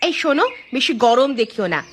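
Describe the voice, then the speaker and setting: A woman's voice: a little high, big pitch swings, moderate pace, a bit bright, slight background noise. A woman voicing a nagging, fed-up village housewife in a cartoon, scolding with a sarcastic edge. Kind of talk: cartoon voice acting.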